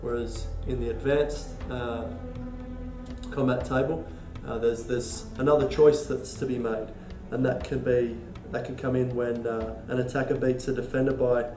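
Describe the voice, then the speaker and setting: A congested Australian man talks through tabletop rules, pausing often with ums and false starts. His voice has a mellow, dark tone, and it sits in an echoey room with soft music underneath. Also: medium pitch. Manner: halting, many ums and restarts. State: congested from a cold. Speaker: board game designer demonstrating rules. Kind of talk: casual tabletop rules walkthrough.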